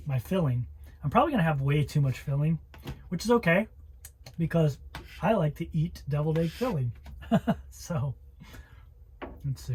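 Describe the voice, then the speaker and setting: A man's voice: mid-range voice, noisy background. Self-deprecating man with a Missouri accent. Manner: rambling, self-deprecating, narrating as he works. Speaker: vlogger. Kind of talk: casual chat while cooking. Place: inside a camper van.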